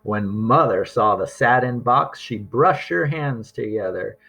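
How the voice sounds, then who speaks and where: A man reading a children's story aloud as narrator, with expressive, deliberate phrasing. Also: mid-range voice, vocal fry, breathy edge. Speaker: storybook reader.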